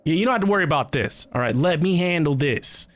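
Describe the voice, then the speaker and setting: A man's voice: a little high, expressive, fast talker, no echo. A man giving casual, emphatic reaction commentary as a game streamer, speaking informally. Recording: faint game music behind.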